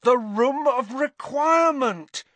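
A man voicing an earnest teenage boy who speaks quickly and decisively. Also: extremely high pitch, lively intonation, fairly bright tone, no room sound, pitched above the performer's own voice, full character voice. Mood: urgent.

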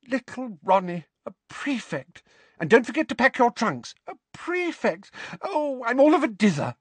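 Man voicing a high-pitched, gushing, doting mother. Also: very animated, normal speed, husky, no room sound, exaggerated voice. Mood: gushing, proud. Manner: breathless, gushing. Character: doting, flustered middle-aged mother, tearfully proud.

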